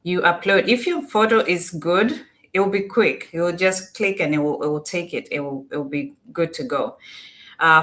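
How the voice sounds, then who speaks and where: A woman's voice: low voice, fairly bright tone. A Kenyan-accented woman presenting an informal step-by-step tutorial, repeating and rephrasing her points as she explains. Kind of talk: informal step-by-step screen walkthrough.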